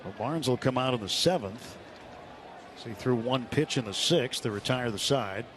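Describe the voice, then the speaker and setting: A man calling baseball play-by-play live on the radio in a measured, descriptive way. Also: mid-range voice, a crowd in the background. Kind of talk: live sports commentary. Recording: clear stadium noise behind live broadcast. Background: outdoor ballpark ambience.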